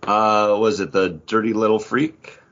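Deep-voiced man chatting on a podcast. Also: steady tone, a little slow, a bit bright. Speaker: podcast host. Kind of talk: casual chat. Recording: dull lo-fi podcast audio.

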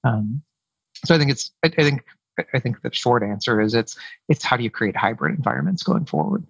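A man's voice: medium pitch, sing-song, fairly quick, creaky, a bit bright, breathy edge, no room sound, lo-fi sound. A man, head of sales, answering interview questions in a casual, hesitant way with many fillers and restarts. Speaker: head of sales, podcast guest. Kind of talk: conversational interview.

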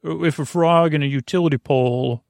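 A man with a creaky voice hosting a bedtime podcast, rambling in a lulling, stammering way and wandering off on tangents. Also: on the high side, normal speed, a little mellow, no room sound, clean studio sound. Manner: meandering, stammering, goes off on tangents; lulling, soothing. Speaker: host of a bedtime sleep podcast.

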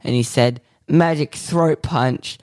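A man co-hosting a podcast, rambling through absurd improvised stories in a deadpan way with lots of fillers. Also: a little high, no room sound, studio quality. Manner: slow, rambling, deadpan nonsense with many fillers. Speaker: podcast co-host.

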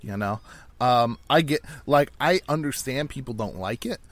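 A man's voice: mid-range voice, lively intonation, normal speed, no echo. A man co-hosting a podcast in casual, joking, informal banter. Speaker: podcast co-host.

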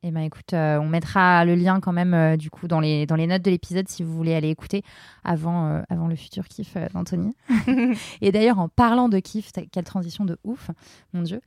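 A woman's voice: on the low side, steady tone, a little fast, gravelly, a little mellow, no echo. Woman co-host in a dry studio. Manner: playful, hesitant with frequent fillers and laughs. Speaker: podcast co-host. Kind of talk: casual chat, reading listener messages.

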